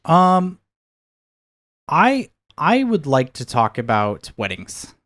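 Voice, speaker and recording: A man's voice: fairly high pitch, huge pitch swings, slow pace, a bit bright. A man in his thirties with an American accent, co-hosting a podcast in a casual chat, often searching for words as he reflects. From United States, near Seattle. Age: 30s. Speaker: podcast co-host.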